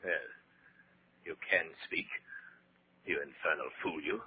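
An actor playing a bullying, secretly terrified German officer in a 1940s radio horror drama, barking commands insistently. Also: male voice, very deep voice, slow. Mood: agitated, ordering. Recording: scratchy, narrow-band 1940s radio recording.

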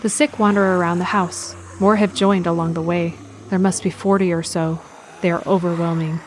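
A woman's voice: fairly low pitch, steady tone, normal speed, a little hiss or hum. A woman reading scripted present-tense narration for an audio drama.

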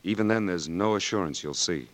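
An actor playing a patient military doctor who steadily reassures a blinded patient, in a 1940s radio drama. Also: male voice, low-pitched, brisk, a bit bright, dry recording. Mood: reassuring. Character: calm, patient army eye doctor, firm but kind.

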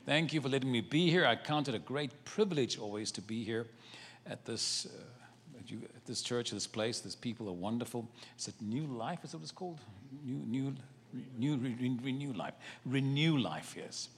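A man's voice: mid-range voice, lively intonation, moderate pace, a little hiss or hum. A man with a South African accent, a guest preacher, joking lightly and then reading scripture dramatically in a sermon. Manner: playful, dramatic Bible reading. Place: church sanctuary. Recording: church hall reverb.

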